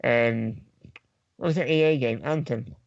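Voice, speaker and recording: A man's voice: medium pitch, measured pace, creaky, no room sound. A man chatting casually about video games as a podcast panellist. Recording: dull, close, dry microphone sound.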